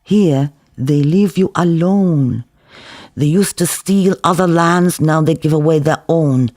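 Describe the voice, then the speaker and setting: A man's voice: fairly high pitch, slow, darkish tone, no room sound, clean studio sound. A man voicing a world-weary, hectoring Israeli kebab-shop worker who lectures and cajoles emphatically. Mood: lecturing.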